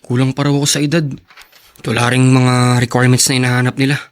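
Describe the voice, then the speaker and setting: A man's voice: medium pitch, flat delivery, fast talker, clean tone, natural voice. An actor playing a poor, earnest teenage boy in an audio drama, sincere and plain-spoken, devoted to his love. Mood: weary, caring. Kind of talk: Tagalog audio drama acting.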